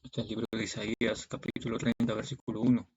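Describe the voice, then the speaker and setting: A man's voice: fairly low pitch, little pitch movement, fast talker, darkish tone, husky. A man preaches in a didactic way, reading Bible verses and explaining them, often checking in with short tags. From Peru. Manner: didactic, punctuated with check-in tags like "okay" and "right". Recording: dull, lo-fi sound.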